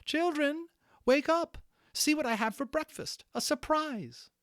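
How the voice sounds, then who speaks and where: A man voicing a caring, brisk mother mouse in a storybook, cheerful and firm with the children. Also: very high-pitched, fairly bright tone, no echo, studio quality. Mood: cheerful calling.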